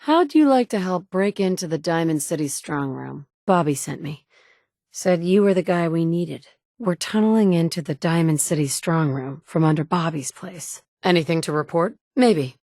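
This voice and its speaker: Casting: a tough, wry survivor mom, slightly low voice, close dry booth sound. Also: female voice, animated, normal speed, a little mellow, dry recording. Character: tough wasteland survivor mother, blunt and wry. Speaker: player character voice. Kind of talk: game voice lines.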